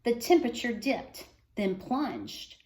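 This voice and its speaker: Higher-voiced woman reading aloud, roomy sound. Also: very animated, takes it slowly, a little mellow. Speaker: reader of a children's book. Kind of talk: read-aloud storytelling for schoolchildren.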